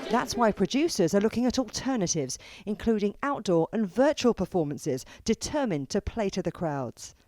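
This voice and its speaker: A woman's voice: medium pitch, expressive, moderate pace, few pauses, a little mellow. A woman reporter reading a scripted television news feature in a playful storytelling way.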